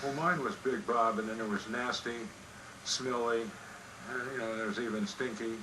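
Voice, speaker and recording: A man's voice: mid-range voice, fairly even intonation, normal speed. An American man reminiscing in a casual, folksy interview style. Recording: interview with clear background noise.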